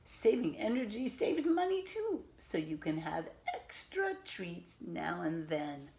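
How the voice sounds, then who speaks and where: A woman reading a picture book aloud to children as a teacher, expressively and patiently, pausing to explain and ask questions. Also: on the low side, big pitch swings, gravelly, a little mellow. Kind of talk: animated read-aloud with simple explanatory asides.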